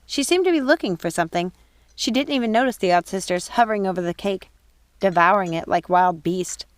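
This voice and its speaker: A woman narrating a storybook in an expressive, animated way. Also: medium pitch, fairly quick, no echo. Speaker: audiobook reader.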